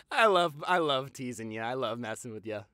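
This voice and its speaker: A man's voice: mid-range voice, fairly bright tone, no echo, clean studio sound, heavily acted. A young man playing a gossipy, playful, affectionate boyfriend in an audio roleplay, theatrical and teasing in his delivery. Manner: theatrical, exaggerated, teasing, chatty.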